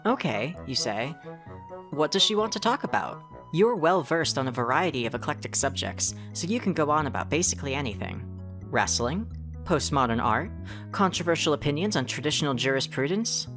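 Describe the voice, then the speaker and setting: A very low-pitched narrator, acted by a woman tells a visual novel story in the second person. The delivery is wry and conversational, wandering into asides, over clearly audible music. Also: sing-song, fairly quick. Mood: flustered, wry. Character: second-person narrator, wry, rambling, easily sidetracked visitor. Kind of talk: scripted narration of a fan-dubbed visual novel.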